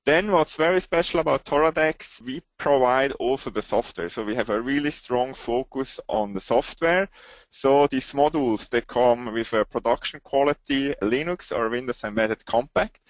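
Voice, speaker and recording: Man with a Swiss accent presenting a software webinar, mid-pitch, halting delivery, dry and slightly dull sound. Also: no echo. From Switzerland. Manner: halting, with frequent "uh" fillers.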